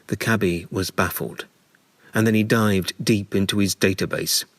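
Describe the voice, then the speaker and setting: A man narrating a scripted memoir in a measured, dramatic storytelling manner with deliberate pauses. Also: lowish voice, steady tone, no echo, clean studio sound.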